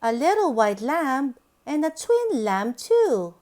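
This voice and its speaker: A woman reading a picture book aloud to young children in a sing-song, exaggerated, deliberate way. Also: sits high, sing-song, darkish tone.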